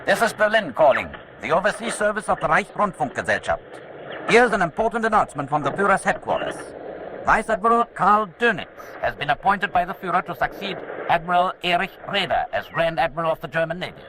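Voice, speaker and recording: Noisy, high-pitched wartime radio announcer. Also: male voice, very animated, brisk. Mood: proclaiming. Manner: formal, declamatory. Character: formal Nazi Berlin radio announcer. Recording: noisy shortwave-style radio broadcast.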